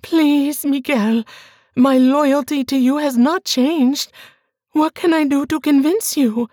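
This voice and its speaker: Terrified pleading young woman, acted by a woman; high, tearful, strained, dry sound. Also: slow pace, a little mellow, dry recording. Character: frightened young woman pleading with her lover.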